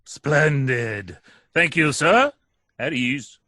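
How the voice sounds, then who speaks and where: An actor playing a deluded, pompous nephew who declaims like a military commander in a radio comedy. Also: male voice, medium pitch, animated, drawn-out words, sharp tone, no echo, studio quality, heavily acted. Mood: pompous, commanding. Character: deluded, booming nephew who believes he is Teddy Roosevelt. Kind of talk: radio comedy theatre acting.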